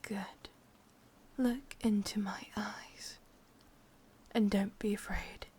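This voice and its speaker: A woman's voice: mid-range voice, fairly even intonation, drawn-out words, darkish tone, slight background noise. A woman with an English accent playing a sadistic, possessive yandere witch in an ASMR roleplay, mostly whispering in a coaxing yet commanding way. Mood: menacingly tender.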